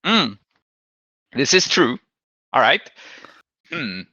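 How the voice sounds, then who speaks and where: A man acting as game master, explaining rulings and narrating a tabletop game in a measured, deliberating way. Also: medium pitch, big pitch swings, pushed and bright, no room sound, muffled recording.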